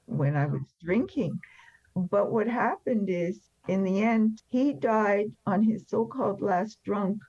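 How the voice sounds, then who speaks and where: A woman's voice: mid-range voice, warm tone, husky, dull audio. An older woman in her seventies or beyond, telling her personal story candidly in an interview in a plain-spoken, matter-of-fact way. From born in France, emigrated after the war. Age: 70s or older.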